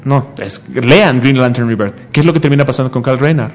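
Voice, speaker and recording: A man's voice: medium pitch, brisk, slight background noise. A man of twenty-five in a heated, casual debate as a comics show host, emphatic and argumentative, often repeating himself and cutting in.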